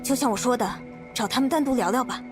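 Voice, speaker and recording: Lady voicing a high pitched confident game leader woman, brisk and commanding, music clearly behind her. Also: natural voice. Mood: resolute. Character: confident, decisive female leader rallying her people. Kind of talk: scripted anime game voice acting.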